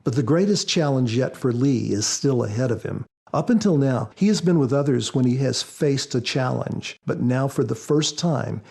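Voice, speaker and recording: A man speaking as an author on a podcast, explaining things in a measured, reflective way. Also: mid-range voice, creaky, warm tone, breathy edge. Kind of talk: measured explanatory talk with scripture readings.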